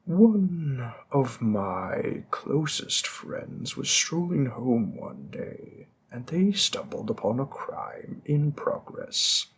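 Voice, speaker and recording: A man's voice: medium pitch, gravelly, husky, full character voice. A man playing a smug, flirtatious mob boss who teases a detective and drops veiled threats. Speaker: audio roleplay performer. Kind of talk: scripted audio roleplay.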